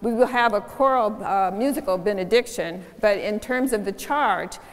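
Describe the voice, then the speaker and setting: Woman pastor, reflective unscripted charge, mid-pitched voice, long church reverb. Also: normal speed. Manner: reflective, with fillers and restarts. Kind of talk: unscripted closing charge in a worship service. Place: large church sanctuary.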